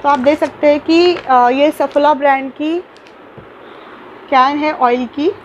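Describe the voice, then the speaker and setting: Young woman vlogger, high-pitched, chatty with fillers and restarts, close phone mic in a small room. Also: fairly even intonation, slow, slight background noise, low-quality recording. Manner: chatty, many fillers and restarts, mixes English words into Hindi. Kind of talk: casual unboxing vlog.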